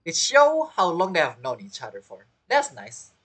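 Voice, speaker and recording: A woman's voice: lowish voice, wildly swooping pitch, moderate pace, slightly rough. Laughing woman reacting to a game. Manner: laughs often, chatty, broken English. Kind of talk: casual reaction commentary.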